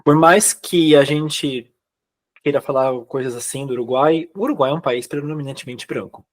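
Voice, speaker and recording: A young Brazilian man speaking Brazilian Portuguese, recounting his experiences in a relaxed, chatty way with many fillers and quoted dialogue. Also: medium pitch, moderate pace. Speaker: guest. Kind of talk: casual interview chat.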